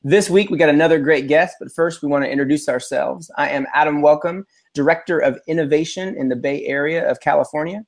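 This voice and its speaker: American male podcast co-host, slightly high voice, casual chat delivery. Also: fairly quick, vocal fry. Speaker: podcast co-host, school administrator.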